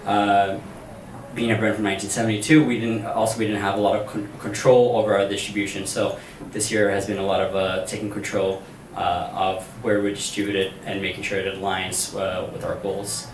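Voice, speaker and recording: A man's voice: lowish voice, little pitch movement, a little fast. Brazilian-accented man on a panel. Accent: Brazilian Portuguese. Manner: casual, hesitant with fillers. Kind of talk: panel discussion. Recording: echoey room, distant mic, faint noise.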